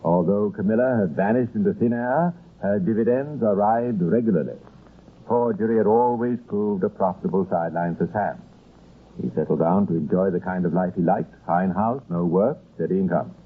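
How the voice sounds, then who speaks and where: A man narrating an old radio crime drama in a measured, wry and ominous manner. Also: deep voice, breathy edge. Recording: tinny, noisy old radio recording.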